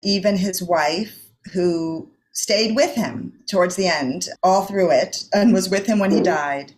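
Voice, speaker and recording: A woman's voice: lowish voice, unhurried, darkish tone. A woman playwright giving conversational commentary as an interview guest.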